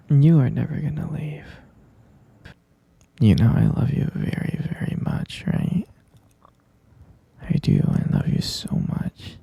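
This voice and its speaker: A man playing an obsessive, possessive, lovesick boyfriend in a whispered ASMR roleplay, with a breathy, gravelly voice and slow, coaxing delivery. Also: medium pitch, dark tone, dry recording, heavily acted. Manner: slow, coaxing, repeats himself with pet names.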